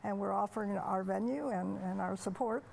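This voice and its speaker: A woman's voice: mid-range voice, normal speed, vocal fry, darkish tone. A woman speaking earnestly and articulately as a museum representative being interviewed. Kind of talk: conversational TV interview.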